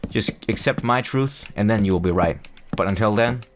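A man's voice: on the low side, normal speed, slight background noise. A young man, not a native English speaker, chatting loosely over his gameplay with lots of fillers and restarts. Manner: rambling, self-mocking, full of fillers and restarts. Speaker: gaming YouTuber. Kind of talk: casual gameplay commentary.

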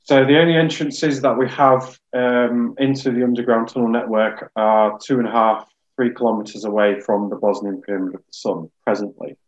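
A man in his thirties giving measured explanations as a geologist guest on a webinar video call. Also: medium pitch, steady tone, dull audio. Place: small room, indoors, on a video call.

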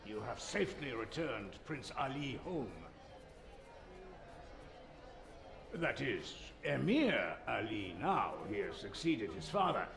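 An actor playing a stern, manipulative middle-aged spymaster father in a video game, commanding, measured and dismissive. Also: mid-range voice, sing-song, gravelly. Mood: formal, approving. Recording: roomy, faint background noise.

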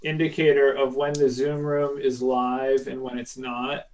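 A man's voice: medium pitch, level tone, unhurried. A man chatting casually as a guest on a video call. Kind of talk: casual chat. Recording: distant mic over video call.

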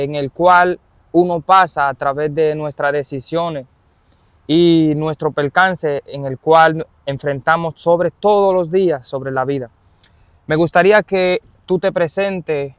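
A man conducting a casual interview outdoors, phrasing his questions in a halting, roundabout way. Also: a little high, smooth, no room sound. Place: outdoors in an open park.

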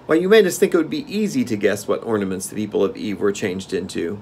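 A man's voice: fairly low pitch, quick pace, darkish tone, slight background noise, close to an everyday voice. A man voicing an earnest, plucky little farm girl in a plain-spoken storybook manner. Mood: indignant.

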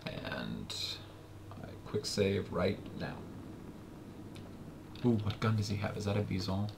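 A man with a raspy voice, casually commenting while he plays a game, joking and muttering asides. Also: lowish voice, big pitch swings, takes it slowly. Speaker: gameplay commentator. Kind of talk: casual let's play commentary. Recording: noisy home recording with game sounds.

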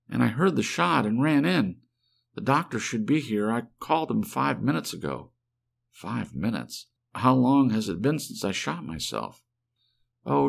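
A man's voice: mid-range voice, gravelly, pitched above the performer's own voice, full character voice. A man voicing an anxious, loving young wife who talks in a breathless, pleading way.

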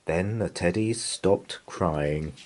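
A man reading a children's picture book aloud in a gentle, lively, sing-song way. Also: sits low, takes it slowly, slight background noise. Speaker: storybook reader.

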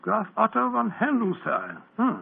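An actor playing a bumbling, blustery middle-aged doctor who stammers and hems, in 1940s radio acting on a tinny old broadcast. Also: male voice, high-pitched, wildly swooping pitch, unhurried, heavily acted. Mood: brisk. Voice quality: husky, stammering with ums and uhs.

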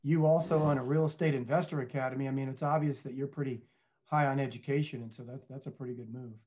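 A man's voice: medium pitch, steady tone, fast, a little mellow. A man in his fifties discussing business casually as a panelist on a show, over a video call. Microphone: headset on video call. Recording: dull, lo-fi video call audio.

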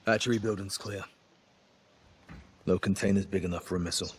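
An actor playing a gruff, laconic special forces soldier with dark humor, making short deadpan callouts in a military video game. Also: male voice, deep voice, fairly quick. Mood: businesslike. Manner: terse, deadpan.

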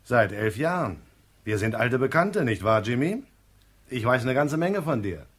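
An actor playing a weary, gruff police lieutenant in a radio crime drama. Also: male voice, medium pitch, big pitch swings, unhurried. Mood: stern, sardonic.

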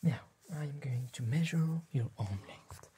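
A young man whispering gently and politely in an ASMR roleplay as a tailor, in a slow, measured, breathy voice close to the mic. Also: mid-range voice, slight background noise. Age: young adult. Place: small indoor tailor workshop set.

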